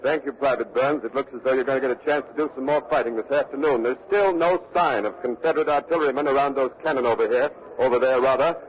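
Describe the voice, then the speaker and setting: A man's voice: mid-range voice, quick pace, hardly any pauses, close to an everyday voice. An actor playing an earnest male war correspondent, formal and urgent, in a 1940s radio news dramatization. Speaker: radio reporter and interviewer. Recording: dull vintage radio broadcast recording.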